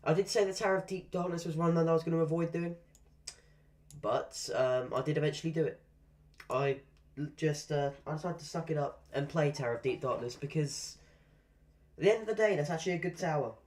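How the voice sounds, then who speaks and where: A man's voice: fairly high pitch, fairly quick, a little mellow. A man chatting casually while live-streaming a game, rambling through asides and swearing at the game now and then. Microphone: desk microphone.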